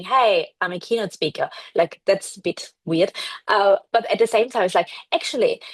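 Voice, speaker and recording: Woman, from Austria, English speaker, breathy tone, blunt and animated, dry sound. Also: medium pitch, no room sound. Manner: frank, animated, laughs often. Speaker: podcast guest, brand strategist. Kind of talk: casual interview chat.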